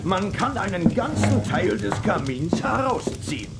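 Slow, self-assured western general. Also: male voice, high-pitched, big pitch swings, full character voice. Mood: explaining. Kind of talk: German children's audio drama acting. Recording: clear background noise.

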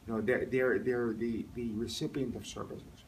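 A middle-aged man with an American accent gives casual interview answers, haltingly, repeating words as he thinks. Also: medium pitch, flat delivery, slight background noise. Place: classroom or meeting room. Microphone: phone camera a few metres away. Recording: distant phone recording with music over it.

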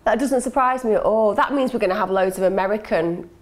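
A woman in her thirties, chatting excitedly at her kitchen table and exclaiming over her results. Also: mid-range voice, quick pace. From England. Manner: excitable, exclaiming, laughing often. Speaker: channel host. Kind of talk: casual chat reacting to DNA results. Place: kitchen table at home, indoors.

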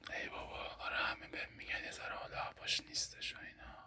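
A young man whispering softly and politely as a barber in a close-up roleplay, with a Persian accent. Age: young adult. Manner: soft polite whisper, addressing the listener as a client. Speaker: ASMR creator playing a barber. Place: dim small room indoors.

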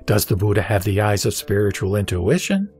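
A man playing the Buddha as a serene teacher, reciting scripture in a measured, didactic, emphatic way. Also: on the low side, big pitch swings, a little mellow, husky, soft background music. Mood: questioning, instructive. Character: the Buddha, serene male spiritual teacher instructing a disciple. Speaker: sutra audio drama reader.

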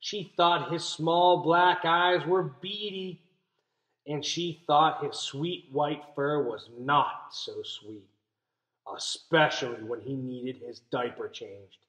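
Young guy doing a storytime lesson for little kids, voice a bit high, explains stuff simply. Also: slow pace, a bit bright, rough recording. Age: young adult. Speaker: teacher. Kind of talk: read-aloud lesson for young children. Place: small indoor room at home. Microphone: webcam or laptop mic at arm's length.

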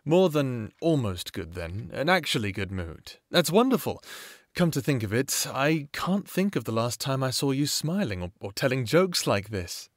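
A man playing an attentive, gentle therapist in an audio roleplay, measured, polite and probing. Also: medium pitch, very animated, no echo, clean studio sound. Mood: pleased. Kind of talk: audio roleplay acting, one-sided conversation.

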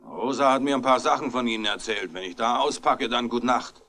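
A belligerent, suspicious male tough in a 1960s German radio mystery. His mid-pitched voice is hard and forceful. He talks roughly and menacingly, like someone ready for a brawl. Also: brisk. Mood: threatening. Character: belligerent, suspicious male thug making threats. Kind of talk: 1960s German radio crime drama acting.

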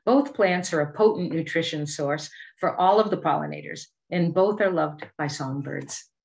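Middle aged boston lady reading out a prepared lesson on a video call, kinda low voice, careful and clear wording. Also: normal speed, a little mellow. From Boston area, United States. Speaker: master gardener giving a presentation. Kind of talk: scripted educational slide presentation.

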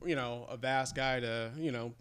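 A man's voice: mid-range voice, fairly even intonation, normal speed, a bit bright, clean tone. A man hosting an interview in a small studio, asking questions and affirming answers in an upbeat, casual way on a desk stand mic. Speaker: interview host.

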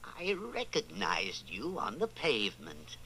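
Chatty, bright-voiced junk shop keeper. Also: male voice, fairly low pitch, huge pitch swings, slow pace, slight background noise, heavily acted, rough recording. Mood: chatty, resigned. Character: chatty male antique shop keeper, resigned. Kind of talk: old-time radio drama acting.

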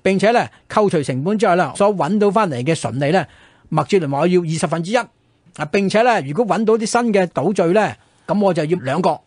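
A man with a Hong Kong Cantonese accent tells history as a radio host. His voice sits a little high and moves quickly, in a very dry studio sound. He explains conversationally and tosses in small checking questions. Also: animated, no room sound.

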